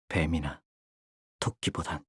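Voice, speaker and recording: A husky, battle-hungry young warrior. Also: male voice, extremely low pitch, flat delivery, slow pace, fairly bright tone, no room sound, clean studio sound, exaggerated voice. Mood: calm menace. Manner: polite, formal speech breaking into fierce intensity. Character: battle-hungry young male rooster-like warrior, polite yet bloodthirsty. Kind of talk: Korean game character voice lines, theatrical.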